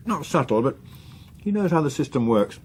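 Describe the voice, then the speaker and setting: An actor playing a suave veteran politician in a radio drama, urbane, measured and drily understated. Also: male voice, fairly high pitch, very animated, a little mellow, slight background noise. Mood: dry, calculating. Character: suave, unflappable veteran politician and chief whip.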